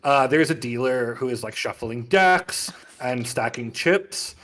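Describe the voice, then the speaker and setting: A man's voice: medium pitch, animated, measured pace, fairly bright tone. A man running a tabletop fantasy game as game master, describing scenes in improvised, conversational narration.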